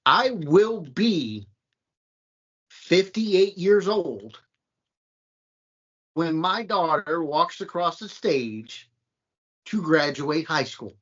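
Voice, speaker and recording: A man in his late thirties hosting a podcast, telling stories animatedly with high exclamations. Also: big pitch swings, drawn-out words, pauses often, a bit bright. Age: late 30s. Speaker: podcast host. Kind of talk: casual chat. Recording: dull lo-fi sound.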